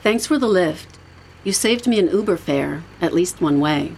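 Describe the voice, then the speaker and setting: Low-pitched, casual young woman. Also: expressive, moderate pace, a little mellow, a little hiss or hum, low-quality recording. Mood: uneasy, reflective. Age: young adult. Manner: casual, reflective. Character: uneasy young woman haunted by vivid dreams. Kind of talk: naturalistic audio drama acting.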